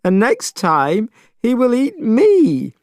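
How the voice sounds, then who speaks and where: Man doing a sobby young monk, high and whiny, dry room. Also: expressive, normal speed, dry recording, close to an everyday voice. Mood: whining. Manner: whining, tearful. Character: weepy young male priest.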